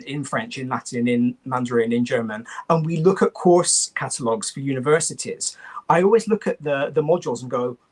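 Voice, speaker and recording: Chatty man hosting a teachers' panel. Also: medium pitch. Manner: chatty, stumbling restarts. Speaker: host. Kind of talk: panel discussion.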